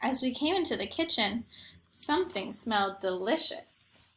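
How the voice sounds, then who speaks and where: A woman voicing an eager, naive, mischievous young dog telling his own picture-book story in an expressive, earnest way. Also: a little high, moderate pace, roomy sound. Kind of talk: first-person picture book narration.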